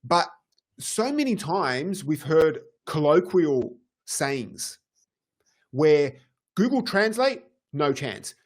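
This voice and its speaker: A middle-aged man co-hosting a casual podcast, talking in an easygoing, agreeable way with quick bursts. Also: fairly high pitch, very animated. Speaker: podcast co-host. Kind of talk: casual chat.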